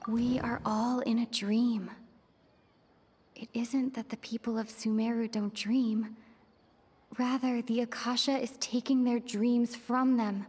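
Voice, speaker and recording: A somewhat high, youthful female adventurer in a video game. She speaks in a reflective, earnest, deliberate way, the voice washed in long reverb. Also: flat delivery, a little mellow, husky. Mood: pensive, realizing. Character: pensive young female traveler reasoning out mysteries.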